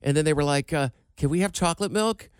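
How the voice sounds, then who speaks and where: A man's voice: fairly high pitch, fast, clear, resonant tone, no room sound, clean studio sound. A man co-hosting a casual talk show, telling playful, self-mocking stories. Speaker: co-host. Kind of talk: casual radio-style chat.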